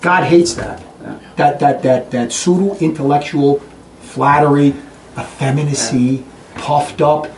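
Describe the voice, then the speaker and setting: A man giving an impassioned religious talk to a group in a fast, blunt, combative way. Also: on the high side, steady tone. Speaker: religious preacher. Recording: echoey room, distant mic, faint noise.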